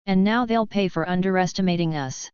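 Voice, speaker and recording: A woman voicing a controlled, brooding male mafia boss in a dramatic story, quietly and deliberately. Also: on the low side, smooth, no echo, lo-fi sound, lightly acted. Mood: cold resolve. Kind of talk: dramatic audiobook character reading.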